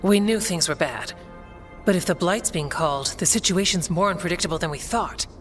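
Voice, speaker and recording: An actress playing a practical, level-headed soldier in a video game, speaking in a dry, matter-of-fact way. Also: female voice, lowish voice, brisk, natural voice. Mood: dry, concerned. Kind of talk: dramatic video game cutscene acting. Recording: faint music behind the voice.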